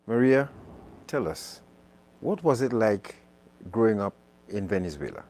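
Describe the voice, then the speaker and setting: A man's voice: on the low side, lively intonation, a little slow, darkish tone. A man hosting a live radio talk show, chatty and prone to repeating words. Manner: conversational, repeats words while searching.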